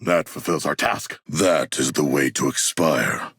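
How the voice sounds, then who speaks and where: An actor playing a hulking, erudite and bloodthirsty humanoid shark warrior in a video game, with a gruff voice and stilted, formal speech. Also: male voice, rumbling low, unhurried, a bit bright, no echo, clean studio sound, exaggerated voice. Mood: solemn, formal. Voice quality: gravelly, gruff. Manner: stilted, pompous, archaic formal diction. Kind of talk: video game character voice lines.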